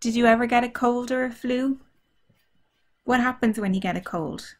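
A woman drama teacher reading a story aloud to children, asking them simple questions in an animated, child-directed way. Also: on the high side, normal speed. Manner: animated, simple child-directed talk, acting out feelings and sounds.

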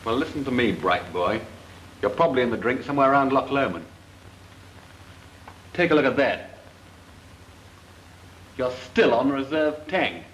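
A man's voice: mid-range voice, animated, moderate pace, long pauses, fairly bright tone, a little hiss or hum, natural voice, rough recording. An actor playing a brusque flight instructor officer who scolds his trainees. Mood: stern. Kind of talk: 1940s British film acting.

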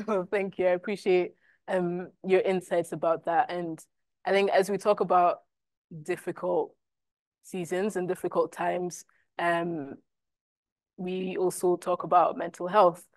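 A woman's voice: lowish voice, little pitch movement, unhurried, darkish tone, no echo. A young woman with a Scottish accent and German as her first language, moderating a university panel in a reflective way. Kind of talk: panel discussion. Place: university classroom, indoors.

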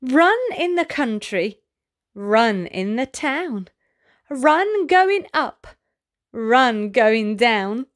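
A woman reading a picture book aloud to young children in an expressive, sing-song, exaggerated storyteller's delivery. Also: on the high side, very slow.

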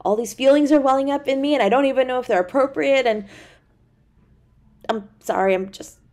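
A woman's voice: high voice, darkish tone. A woman playing a tender, easily flustered young girlfriend in an intimate audio roleplay, speaking in a hesitant, stammering way. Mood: tender, overwhelmed. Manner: hesitant, stammering, halting delivery.

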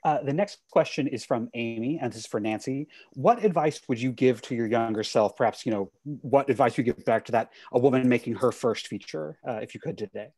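Brisk man moderating, dry sound. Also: mid-range voice, a little mellow, slightly rough, dry recording. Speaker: film programmer and moderator. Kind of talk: reading audience questions. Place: home room. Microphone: earbud headset on a video call.

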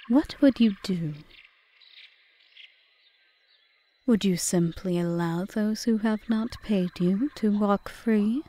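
A composed witch with a grievance , acted by a woman for an ASMR roleplay. Her voice is soft, dark and mid-pitched, often slipping toward a whisper. She lays out her terms slowly in measured, formal phrases. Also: no echo. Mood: cool, probing. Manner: measured, formal, breathy half-whispered delivery. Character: cool, bargaining yandere witch, aggrieved yet composed. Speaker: audio roleplay performer.

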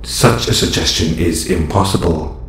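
A man playing a calm, formal robot superhero in an audio roleplay, speaking in stilted, measured phrases. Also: very deep voice. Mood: firm, guarded. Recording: roomy echo, slight background noise.

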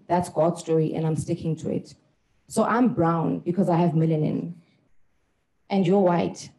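A woman in her thirties gives an earnest, measured account into a handheld mic. Her voice sits fairly low and sounds mellow rather than bright. She speaks with emphatic conviction. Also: rough recording. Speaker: panelist. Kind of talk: personal testimony at a panel. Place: indoor conference room.

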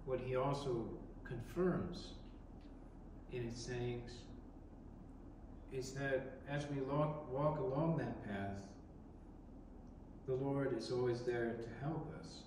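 A man in his thirties, an Orthodox priest, giving a reflective sermon with pauses, recorded from a distance in a large church. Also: mid-range voice. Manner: slow, reflective, with pauses and restarts. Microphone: distant camera in the congregation. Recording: echoey church from far off, background noise.

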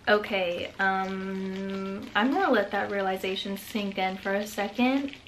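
A woman's voice: mid-range voice, unhurried, fairly bright tone, slight background noise. Chatty woman in her twenties, rambling. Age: 20s. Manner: rambling, many "like" fillers, frequent laughs. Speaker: vlogger. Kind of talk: casual reflective talk to camera. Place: bedroom, indoors.

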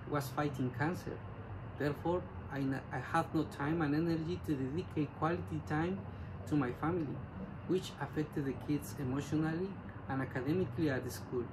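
A middle-aged man, a school parent, reading a prepared testimonial with careful, measured delivery while seated on a couch at home. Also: on the high side. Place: living room, seated on a couch. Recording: noisy background hiss.

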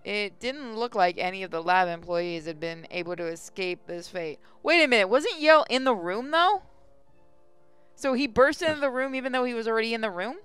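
A man's voice: high voice, animated, a little fast, sharp tone, clear, resonant tone, no echo, soft background music. A man reading a game's text aloud and commenting sarcastically, swearing often. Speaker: let's play host.

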